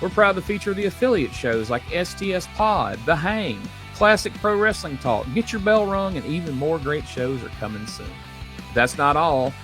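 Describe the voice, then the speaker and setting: A man's voice: on the high side, expressive, moderate pace, fairly bright tone. A man delivering a scripted promotional announcement for podcasts. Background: guitar music.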